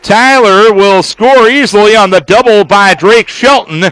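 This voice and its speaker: A man with a slightly high-pitched voice delivers live baseball play-by-play in a dry, close sound. He works as a radio announcer, using clipped, measured phrases and deliberate pauses between them. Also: a bit bright, smooth, no echo, poor audio. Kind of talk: live sports play-by-play commentary.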